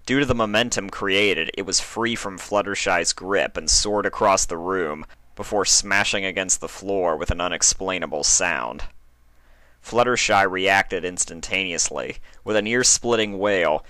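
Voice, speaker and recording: A man's voice: low voice, normal speed, sharp tone, no echo. A man narrating a written story as a dramatic reading. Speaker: fan fiction reader.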